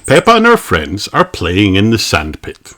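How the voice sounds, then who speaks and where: A storyteller reading a children's picture book aloud in an expressive, exaggerated way. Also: low voice, measured pace. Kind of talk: animated scripted narration of a picture book. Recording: clearly noisy recording with clicks.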